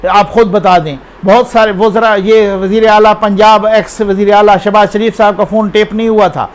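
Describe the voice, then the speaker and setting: A man with a Pakistani accent and a notably high-pitched voice hosts an informal news commentary. He speaks off the cuff in a conversational way, often putting rhetorical questions to the viewer and checking that they follow. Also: steady tone, moderate pace.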